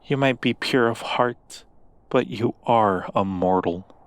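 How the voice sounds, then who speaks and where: A man's voice: medium pitch, natural voice. A man playing a seductive, coaxing demon in an ASMR roleplay, speaking with silky, formal persuasion to a mortal. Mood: persuasive. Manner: slow, silky persuasion with formal, deliberate wording.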